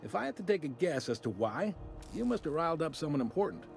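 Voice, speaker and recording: Droll, high-pitched, swooping folksy customs man. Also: very animated, fast, gravelly, faint music behind. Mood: wry, confiding. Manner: drawling, droll, casually apologetic. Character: wry, folksy male space-station customs officer. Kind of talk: video game character acting.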